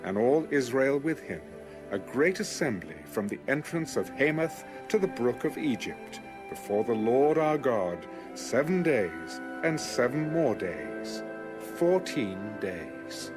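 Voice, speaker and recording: A man narrating scripture for an audio Bible in a measured, formal, scripted style. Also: medium pitch, sing-song. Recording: music under the voice. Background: music.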